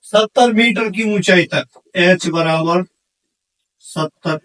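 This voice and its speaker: Male teacher, high voice, unhurried delivery, instructional question-and-answer style, worked problems. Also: a bit bright, distant mic, rough recording. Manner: instructional, asks questions and answers them himself. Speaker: physics teacher for exam candidates.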